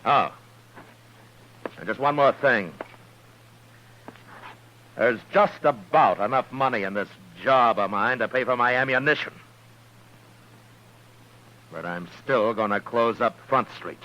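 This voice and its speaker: An actor playing a stern, weary American frontier marshal in a radio western, speaking with dry, sardonic authority. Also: male voice, medium pitch, slow, long pauses, sharp tone, dull audio. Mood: bitter, sardonic. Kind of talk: 1950s radio western acting. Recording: noisy, humming old radio recording.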